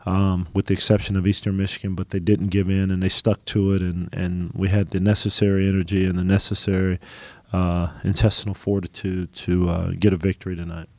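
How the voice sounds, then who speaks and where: Low, flat-voiced coach at a press conference. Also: male voice, dry recording. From United States. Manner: halting, many ums and restarts. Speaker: head basketball coach. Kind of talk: post-game press conference answers.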